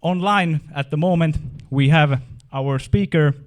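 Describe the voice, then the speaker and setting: A man's voice: a little high, measured pace. Hesitant male meetup host. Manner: hesitant, many restarts and fillers. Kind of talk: introducing a speaker at a meetup.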